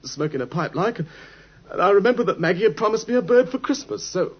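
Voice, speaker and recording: An actor playing a frightened, servile petty thief in an old radio drama, stammering and pleading with an English accent. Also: male voice, sits high, expressive, quick pace, husky, heavily acted. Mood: nervous confessing. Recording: muffled vintage radio broadcast.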